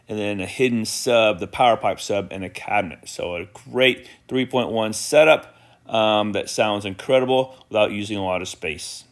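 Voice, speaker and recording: A man narrating an informal product tour in an approving, enthusiastic manner, pointing things out to viewers. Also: on the low side.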